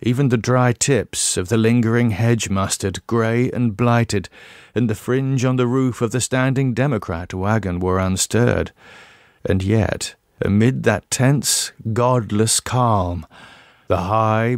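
Male audiobook narrator, fairly low voice, measured and dramatic horror reading, dry sound. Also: steady tone, no echo. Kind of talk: scripted narration of a horror tale.

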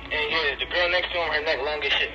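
A man's voice: a little high, fairly even intonation, quick pace, highs cut off. A blunt, bossy man giving rude prank orders through a walkie-talkie. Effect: walkie-talkie radio. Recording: walkie-talkie radio with loud music. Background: loud music.

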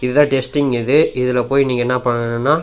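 A man's voice: mid-range voice, little pitch movement, brisk. A man casually walking viewers through a phone app in an informal, rambling way with repeated filler phrases. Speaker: tech YouTuber. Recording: faint background noise.

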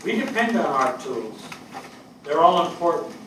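An older man acting an earnest fire captain in a stage reading, delivering a measured eulogy for a fallen friend. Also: fairly high pitch, big pitch swings, a bit bright. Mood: proud, tender. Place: indoor theatre stage. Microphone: distant camera. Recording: echoey stage, distant mic, faint noise.

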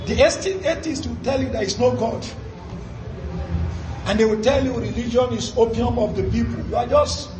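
High-pitched preacher man in echoey room. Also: noisy background. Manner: emphatic, asks rhetorical questions and answers them. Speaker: Islamic preacher. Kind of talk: Friday sermon. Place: study with bookshelves.

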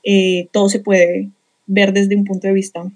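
A woman's voice: medium pitch, level tone, moderate pace, a little mellow, slight background noise. A young woman, a journalist and author, speaking earnestly as a panel guest on a video call from an office. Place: office room. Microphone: laptop webcam on a video call.

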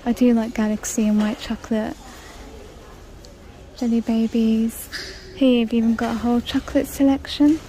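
Female vlogger, flat-ish delivery, reading prices aloud while filming in a store. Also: on the high side, moderate pace, long pauses, low-quality recording. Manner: chatty, reads out prices. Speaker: shopping vlogger. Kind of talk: casual shop-with-me narration. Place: large indoor discount store. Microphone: handheld phone camera.

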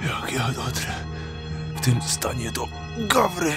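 An actor playing a wounded, desperate hunted thief in a fantasy audio drama, speaking in strained, halting mutters and curses. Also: male voice, medium pitch, sing-song. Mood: pained, determined. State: in pain, labored, injured. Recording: loud music behind the voice.

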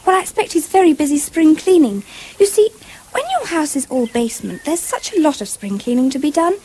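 High-voiced, imaginative little girl. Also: expressive, brisk, dark tone. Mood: chatty, polite. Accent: English. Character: earnest, imaginative little girl, politely chatty. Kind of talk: 1970s British radio drama acting. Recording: faintly hissy old radio broadcast.

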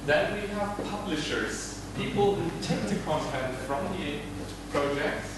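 Male workshop leader, 30s, halting explanatory delivery, distant mic with loud background noise. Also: on the high side, animated. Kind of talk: informal workshop presentation. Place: classroom-like workshop room indoors. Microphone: camera mic from across the room. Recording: very noisy echoey room, distant mic.

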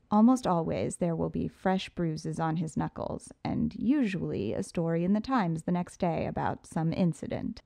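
A woman's voice: low voice, vocal fry, dark tone, no echo. A woman reading an audiobook in the first person, with poised, sultry storytelling, recorded on a close studio mic. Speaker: audiobook narrator.

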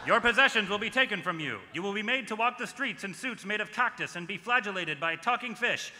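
Guy doing a pompous evil trooper reading out decrees, very sharp voice, echoey hall. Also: high-pitched, lively intonation, fairly quick, slight background noise, heavily acted. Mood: menacing. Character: pompous amplified stormtrooper, menacing tyrant's announcer. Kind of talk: live script table read. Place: panel table in large convention hall. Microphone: table microphone.

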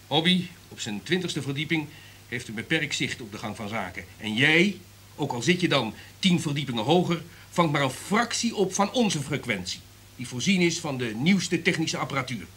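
An actor playing an imperious, irritable top executive in a radio drama, lecturing in a domineering, emphatic way. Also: male voice, a little high, lively intonation, normal speed, fairly bright tone, slightly rough. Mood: lecturing, commanding.

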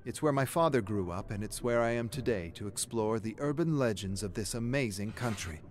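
A man's voice: fairly low pitch, lively intonation, a little fast, slight background noise, lightly acted. A man voicing a peppy young woman presenting spooky urban legends, with forced energy and theatrical delivery in a scripted story. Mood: peppy, dramatic.

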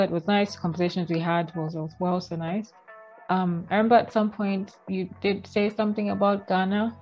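A woman's voice: mid-range voice, darkish tone. A young woman from Kenya talks through a headset on a video call, chatty and reminiscing, often restarting her sentences. Her voice sits in a middle range. The sound is a little dull, with faint music underneath. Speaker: vlogger host. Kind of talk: casual video call chat. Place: home room with shelves, indoors.

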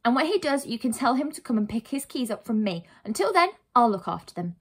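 A woman's voice: a little high, very animated, a little fast, breathy edge, voice pushed up. A woman voicing a sensible, bossy young schoolgirl from a children's storybook, scolding emphatically in an exasperated, principled way. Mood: stern scolding. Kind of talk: children's storybook character reading.

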